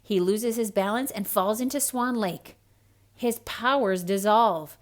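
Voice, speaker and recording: A woman with a mid-pitched voice performs a children's read-aloud. Her delivery is theatrical and expressive, shaped for young listeners, with hushed asides woven into the storytelling. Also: measured pace.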